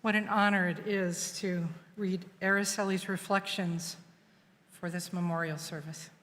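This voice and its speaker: A woman reading a eulogy aloud at a memorial service, slow, measured and gentle. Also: mid-range voice. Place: church sanctuary. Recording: long reverb of a church hall.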